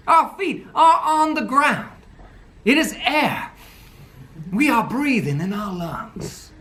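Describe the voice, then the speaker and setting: A man's voice: high-pitched, sharp tone, slight background noise, exaggerated voice. A man voicing a smug lawyer making a mock-logical closing statement. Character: smug male prosecutor lawyer, glib. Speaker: lawyer Mister Grayson. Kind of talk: amateur radio play reading.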